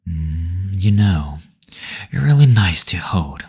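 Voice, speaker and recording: A man playing a teasing, gentle male demon in an intimate roleplay audio, speaking softly and breathily, often near a whisper, in a tender, unhurried manner. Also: low-pitched, big pitch swings, husky, heavily acted. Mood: warm, honored.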